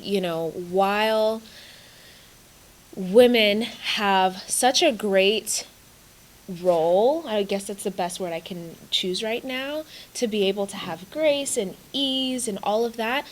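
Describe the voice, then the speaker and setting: A woman's voice: medium pitch, takes it slowly, rough recording. Woman from uganda, guest coach, earnest and emphatic, draws out words for effect. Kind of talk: interview conversation.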